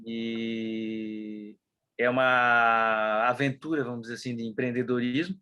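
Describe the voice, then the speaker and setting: A Brazilian man with a southern Brazilian accent, a guest telling stories casually in an interview, lively and full of fillers. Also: mid-range voice, unhurried, clear, resonant tone, faint music behind. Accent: southern Brazilian Portuguese. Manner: animated storyteller, mimics people, many fillers like né. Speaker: interview guest, business consultant.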